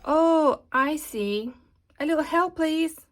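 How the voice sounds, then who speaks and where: A woman's voice: sits high, slow, noisy background, pitched above the performer's own voice. A woman voicing a flamboyant, self-important male glitter character in a theatrical, affected way for a children's storybook. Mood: flustered.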